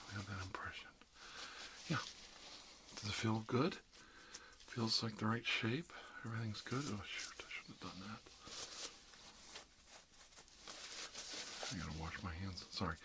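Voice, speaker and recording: A man playing a gentle, reassuring dentist in a soft-spoken ASMR roleplay, murmuring hesitantly and often slipping into a whisper. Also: fairly low pitch, normal speed, long pauses, background noise, natural voice. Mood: apologetic. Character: gentle dentist treating a vampire patient.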